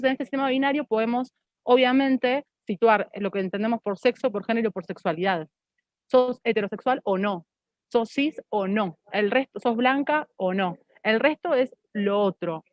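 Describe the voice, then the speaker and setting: A woman's voice: mid-range voice, dry recording. Casting: Argentine-accented woman, reflective academic panelist who argues through rhetorical questions; close, dry, slightly dull recording. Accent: Argentine Spanish.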